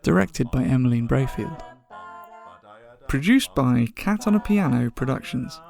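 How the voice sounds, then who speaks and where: A man's voice: medium pitch, lively intonation, unhurried, gravelly, darkish tone, soft background music. A man announcing scripted closing credits for an audio drama podcast.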